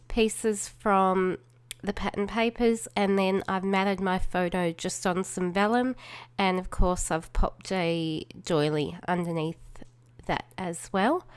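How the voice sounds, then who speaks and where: Aussie woman doing a slow chatty craft voiceover, bit snuffly w a cold, smooth clear voice. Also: mid-range voice, no echo. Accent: Australian. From Australia. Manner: chatty, relaxed, many fillers and trailing asides. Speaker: crafting channel host.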